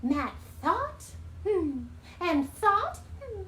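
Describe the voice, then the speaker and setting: A woman voicing an excitable female puppet bird who reads storybooks aloud with theatrical exclamations and droll asides. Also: very high-pitched, very slow, full character voice. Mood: bright storytelling. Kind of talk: casual read-aloud with playful asides on a livestream. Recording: roomy echo, faint background noise.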